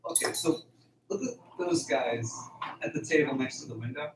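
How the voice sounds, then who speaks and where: A man's voice: medium pitch, moderate pace, natural voice. A man acting in an amateur radio drama as an easygoing, joking young farmhand who talks casually. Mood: uneasy, curious. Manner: casual, joking, mock-scholarly at times. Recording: roomy, distant, clear background noise.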